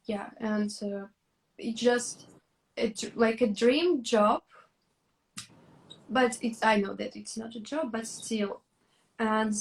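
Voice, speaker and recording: A young woman from Russia, speaking English as a with a voice a bit higher than most. She talks very slowly, pausing to hunt for the right word. Her manner is thoughtful and unhurried, like casual stream chat. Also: low-quality recording. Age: young adult. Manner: thoughtful and hesitant, searching for words. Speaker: YouTuber, co-host of a live stream.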